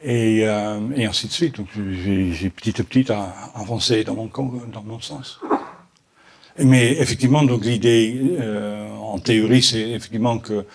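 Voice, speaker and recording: Halting Dutch-accented man. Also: on the low side, fairly even intonation. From Netherlands. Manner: halting, many restarts and repeated words. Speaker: botanical garden owner answering questions. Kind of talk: Q&A after a conference talk.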